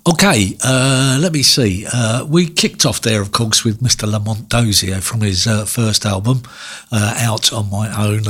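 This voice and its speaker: Husky-voiced man hosting a music show. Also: mid-range voice, fairly bright tone. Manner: rambling, many fillers and restarts. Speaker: radio show host. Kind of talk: casual radio chat between records.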